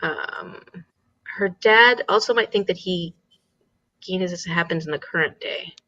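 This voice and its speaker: A woman's voice: lowish voice, a little slow, pushed and bright, muffled recording. A woman co-hosting an online book discussion in a casual, chatty style.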